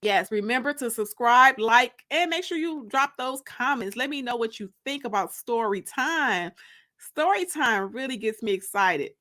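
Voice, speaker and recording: A woman's voice: fairly high pitch, animated, normal speed, pushed and bright. A woman hosting a casual livestream, chatting informally and gossipily with viewers and repeating phrases for emphasis. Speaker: host of a live-streamed story time.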